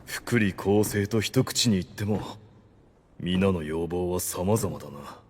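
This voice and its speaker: A man's voice: low-pitched, slow pace, background noise. A man playing a commanding, pompous undead overlord who is privately flustered, in a Japanese anime audio drama. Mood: guarded. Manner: pompous, lordly. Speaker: ruler addressed by his subordinates. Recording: long hall-like reverb on voice.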